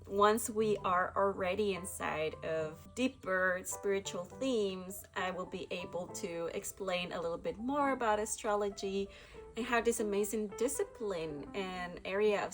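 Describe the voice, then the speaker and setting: A woman from Guatemala, hosting a casual spiritual talk to camera, reflective, with frequent laughs and restarts. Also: mid-range voice. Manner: reflective, laughs often, many fillers and restarts. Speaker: spiritual channel host.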